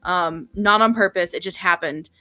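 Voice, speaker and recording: A woman's voice: lowish voice, normal speed, sharp tone. A woman co-hosting a livestream, chatting casually in an emphatic, slangy way and often repeating herself for emphasis. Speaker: co-host on a visual novel livestream. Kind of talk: casual chat.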